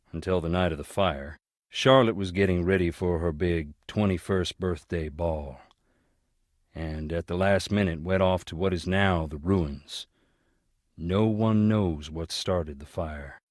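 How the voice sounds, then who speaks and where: A man's voice: deep voice, no room sound, studio quality. An actor with a Southern US accent playing a blunt, folksy, brooding man haunted by family tragedy in a video game. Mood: somber. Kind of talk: video game character dialogue.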